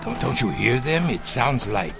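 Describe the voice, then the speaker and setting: An actor playing an evasive, agitated former SS captain in a vintage television drama, halting and blustering as he defends himself. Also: medium pitch, big pitch swings, creaky, music in the background. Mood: startled, fearful.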